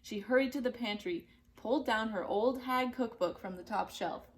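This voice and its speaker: A woman narrating a children's storybook in an expressive, deliberate and dramatic way. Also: fairly high pitch. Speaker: storybook reader.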